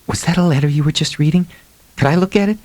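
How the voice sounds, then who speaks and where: A man's voice: on the high side, fast, a little mellow, no room sound, pitched above the performer's own voice, close to an everyday voice. A male audiobook reader voicing a shy, eager young woman who talks hesitantly and a little hurriedly. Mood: curious, eager. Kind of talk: audiobook character dialogue.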